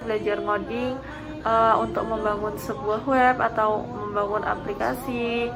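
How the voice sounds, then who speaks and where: A young woman student from Semarang explaining her coursework in a testimonial, pausing on small fillers. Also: mid-range voice, little pitch movement, music in the background. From Semarang, Indonesia. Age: young adult. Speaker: first-semester student. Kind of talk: testimonial interview.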